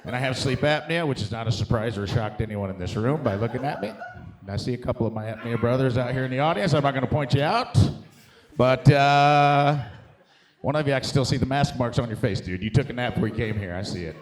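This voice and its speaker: American stand-up comedian in an echoey room. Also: male voice, medium pitch, quick pace. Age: middle-aged. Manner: conversational, profane, emphatic, addressing the crowd as dude and guys. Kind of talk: stand-up comedy set before a live audience. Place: indoor comedy venue with live audience.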